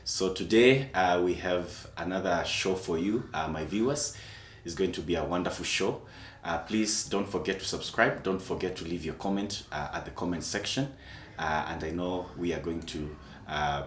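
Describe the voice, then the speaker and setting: A man hosting a casual interview show, soft-spoken and full of murmured acknowledgements. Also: low voice, moderate pace, few pauses. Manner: soft-spoken, frequent hums and "mm-hmm" backchannels. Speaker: talk show host. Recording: echoey room with faint background noise.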